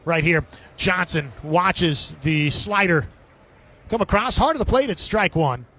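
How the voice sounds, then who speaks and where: Male sports radio commentator, high voice, live baseball play-by-play in short clipped phrases. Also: animated, a little slow, sharp tone.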